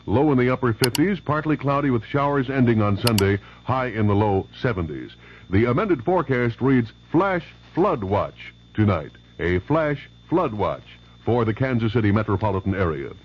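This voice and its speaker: A man's voice: medium pitch, big pitch swings, normal speed, husky. A man with an American accent reading a scripted local news and weather bulletin on the radio. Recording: dull, noisy old radio broadcast recording.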